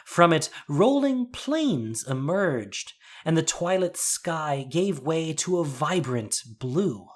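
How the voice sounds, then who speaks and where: A man reading a scripted fantasy story as narrator, with measured, deliberate, suspenseful phrasing. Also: mid-range voice. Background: soft music.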